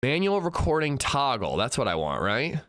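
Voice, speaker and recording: Bright-voiced, congested male streamer. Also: wildly swooping pitch, normal speed. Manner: chatty, swings from upbeat shout-outs to low mumbling. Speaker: livestreamer. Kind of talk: casual livestream chat greeting viewers.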